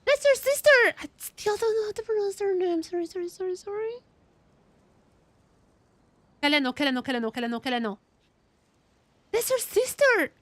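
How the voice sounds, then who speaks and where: A woman giving excitable, casual live reactions as she streams a game, often repeating her words. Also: extremely high pitch, normal speed, long pauses, no echo.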